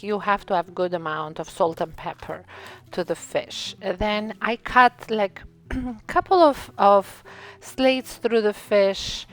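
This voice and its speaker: A woman from Albania, a native Albanian speaker, hosting a cooking video and chatting through the steps with frequent ums and likes. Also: medium pitch. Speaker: cooking channel host. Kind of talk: casual cooking tutorial voice-over.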